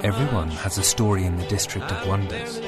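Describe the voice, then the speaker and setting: A man's voice: deep voice, lively intonation, fairly quick. A man reading a scripted promotional intro as a podcast network announcer. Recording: produced jingle with loud guitar music. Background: loud guitar music.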